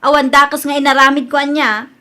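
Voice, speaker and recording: A high-pitched, bright and forceful Ilocano Filipino working woman, acted by a woman in a radio drama. She is slippery and defensive, stammering excuses and turning indignant under challenge. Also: level tone, fast. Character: defensive Filipino working woman caught stealing, evasive wife.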